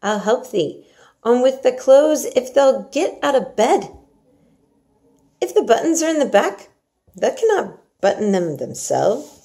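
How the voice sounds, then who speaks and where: A woman's voice: on the high side, very animated, unhurried, warm tone, voice pushed up. A woman voicing a good-natured young Yorkshire housemaid in a storybook, homely and talkative, with a Yorkshire accent. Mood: matter-of-fact. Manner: rattling on, homely and familiar.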